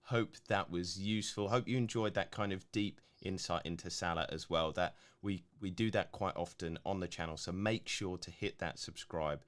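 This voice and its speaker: Low-voiced gruff male co-host. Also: normal speed, hardly any pauses. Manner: relaxed, filler-heavy, laughs easily. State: slightly gruff voice. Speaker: co-host reading viewer questions. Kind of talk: casual live stream chat.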